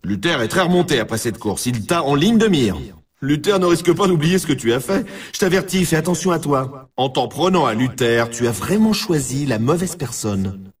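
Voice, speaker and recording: An excitable snowboard race announcer for a video game. His voice sits high and swings widely in pitch, heard from a little way off the mic. He is emphatic and familiar, needling and warning the player like a hyped sports commentator. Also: moderate pace, breathy edge, distant mic. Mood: teasing warning.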